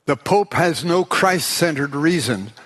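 A man preaching a sermon in short phrases, pausing for his interpreter. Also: fairly high pitch, expressive, fairly bright tone, a little hiss or hum. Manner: slow, deliberate, pausing for interpreter.

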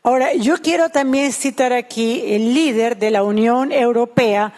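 A woman's voice: on the high side, a little slow, a little mellow. A woman interpreting a sermon line by line, earnest and fluent.

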